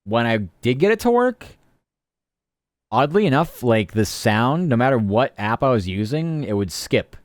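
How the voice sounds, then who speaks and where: A man's voice: mid-range voice, very animated, normal speed, dry recording, studio quality. A man streaming live, chatting casually and rambling from topic to topic while answering his chat.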